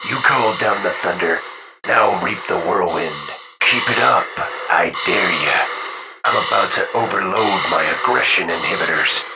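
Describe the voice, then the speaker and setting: An actor playing a cool, deadly covert military operative in a video game, terse and cocky through a radio filter. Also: male voice, low-pitched, normal speed, vocal fry. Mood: cold confidence. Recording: noisy radio comms.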